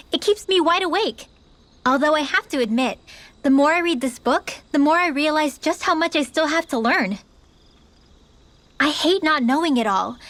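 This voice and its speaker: A woman's voice: high voice, a bit bright, no echo. A woman playing an eager, bookish teenage girl mage in a video game, with a youthful, easily indignant manner. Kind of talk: video game cutscene acting.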